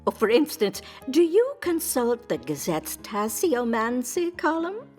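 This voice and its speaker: A woman's voice: on the high side, big pitch swings, a little slow, a little mellow, faint music behind. A woman playing a prim, earnest ghost newspaper reporter, polite, wordy and a little hesitant, in an audio drama. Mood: polite inquiry. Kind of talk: audio drama character acting.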